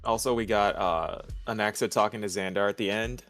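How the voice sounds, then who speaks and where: A man's voice: lowish voice, steady tone, fairly quick, a bit bright. A man chatting casually as a guest about a video game, enthusiastic and slangy. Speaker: guest co-commentator.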